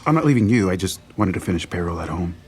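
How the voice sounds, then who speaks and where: A man's voice: low voice, expressive, fast, a little mellow, a little hiss or hum. An actor playing an earnest, lovesick young man confessing to a childhood friend. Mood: pleading. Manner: earnest, tender. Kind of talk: audio drama acting.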